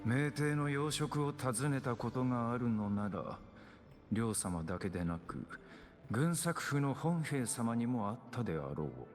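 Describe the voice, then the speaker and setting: Guy voicing a stern formal game warrior, dramatic pitch swings, long reverb, music under it. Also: expressive, fairly quick, close to an everyday voice. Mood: solemn, stern. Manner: formal, stern, old-fashioned wording. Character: solemn, archaic-speaking male warrior on a deadly mission. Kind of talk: Japanese video game voice acting.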